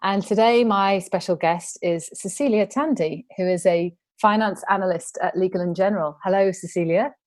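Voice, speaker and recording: A woman acts as a podcast interviewer. Her voice sits at a middle pitch, and she talks conversationally with a guest over a video call. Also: moderate pace, few pauses, a little mellow.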